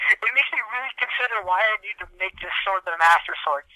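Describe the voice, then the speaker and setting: Bright, high-voiced young man ranting. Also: fast talker, dry recording, low-quality recording. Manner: exasperated, hyperbolic, profane ranting. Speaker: podcast co-host. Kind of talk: casual comedic ranting on a podcast.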